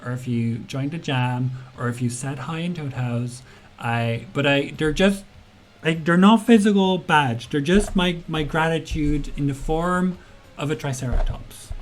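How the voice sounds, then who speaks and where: A man's voice: on the high side, a little slow, slight background noise. A man hosting a casual game jam livestream, chatting in a friendly way with many ums and uhs and answering viewers' questions from chat. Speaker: game jam stream host.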